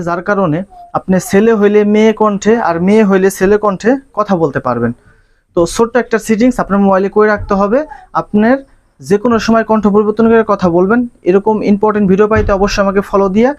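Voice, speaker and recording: A man narrating a casual step-by-step phone tutorial, instructing viewers directly. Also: high voice. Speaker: tech tutorial host.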